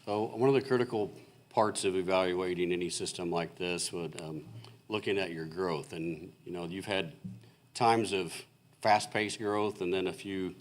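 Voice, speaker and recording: Deep-voiced male engineer, hoarse from losing his voice, walking a city board through a report, halting and filler-heavy. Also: fairly even intonation. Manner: halting and explanatory, many fillers and restarts. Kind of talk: technical presentation at a public meeting. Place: city council meeting room.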